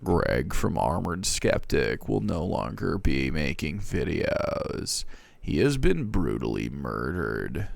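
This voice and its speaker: A man doing a grave, slow announcer. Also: sing-song, gravelly, dry recording, exaggerated voice. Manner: slow, low, grave delivery. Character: solemn male announcer of a death notice.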